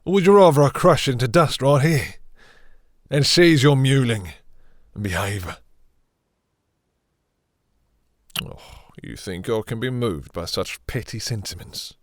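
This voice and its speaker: A man playing a possessive, domineering vampire master in an intimate roleplay audio drama, with slow, theatrical, condescending menace and a breathy, seductive purr. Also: mid-range voice, no room sound, clean studio sound, natural voice. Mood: stern, threatening.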